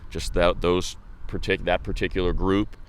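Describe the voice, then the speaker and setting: A man's voice: sits low, little pitch movement, moderate pace, slight background noise. An American man hosting a sports show, talking casually in a rambling, slangy way. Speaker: sports radio host.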